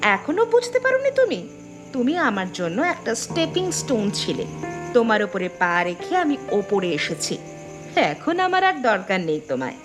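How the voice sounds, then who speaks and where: A woman's voice: on the high side, huge pitch swings, moderate pace, over music. A woman voicing a cold, mocking, scheming young woman in a cartoon melodrama. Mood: scornful.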